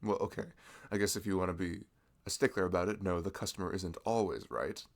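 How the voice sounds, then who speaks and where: A man's voice: deep voice, steady tone, quick pace, vocal fry. A young man doing a chatty audio roleplay as a friendly shopkeeper, laughing often between lines. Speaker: ASMR roleplay creator.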